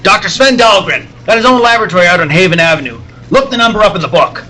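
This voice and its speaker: A man playing a gruff, blustering newspaper boss who barks orders and stammers when rattled, in an old-time radio serial style. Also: high-pitched, animated, quick pace, bright, forceful tone, slight background noise, poor audio. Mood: brisk, commanding. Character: gruff, excitable newspaper editor, an agitated boss.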